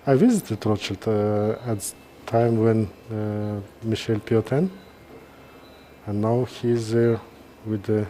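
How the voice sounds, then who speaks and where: A middle-aged man with a Kazakh accent speaks slowly in a mellow, soft-edged voice. He gives informal commentary in halting phrases, often pausing mid-sentence. Faint background noise sits behind him. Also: fairly low pitch, steady tone. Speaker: neurosurgeon presenting a live case. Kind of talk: informal live-stream commentary.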